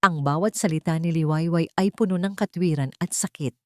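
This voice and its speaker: A woman's voice: fairly low pitch, brisk, no echo, clean studio sound. A woman reading a scripted dramatic story as its storyteller.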